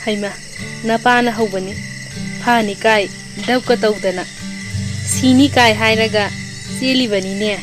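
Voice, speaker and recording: A woman's voice: fairly high pitch, steady tone, racing, long pauses, close to an everyday voice. A woman with a Manipuri accent playing a middle-aged mother, scolding but caring, in an audio drama. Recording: music and insect sounds behind. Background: music and crickets.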